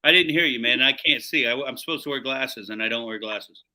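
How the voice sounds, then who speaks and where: A man's voice: medium pitch, a little fast, pushed and bright. A man hosting a live cooking show, chatting casually in slang and talking up the food as he cooks.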